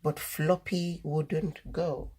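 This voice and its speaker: A woman reading a children's story aloud as host, in deliberate, expressive narration. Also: low-pitched, breathy edge.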